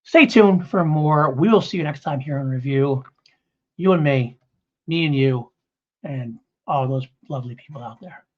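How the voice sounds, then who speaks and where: A man's voice: fairly high pitch, normal speed, highs cut off. A middle-aged man hosting a casual review podcast, talking in a rambling way and correcting himself as he goes. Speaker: podcast host.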